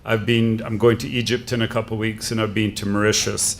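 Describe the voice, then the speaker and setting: A man's voice: on the low side, flat delivery, a little fast. Middle-aged Canadian man, conference speaker, casual delivery with fillers. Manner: conversational, with frequent fillers. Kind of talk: conference speech.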